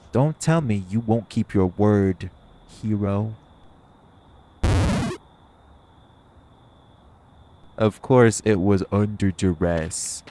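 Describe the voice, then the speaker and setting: A man's voice: on the low side, dark tone, a little hiss or hum, natural voice. A man playing a cocky, teasing vampire in an audio roleplay, bantering with a hunter in a smug, sardonic, flirty way and swearing freely. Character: cocky, teasing, hungry male vampire carrying his hunter rival. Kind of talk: audio roleplay acting, addressed to the listener.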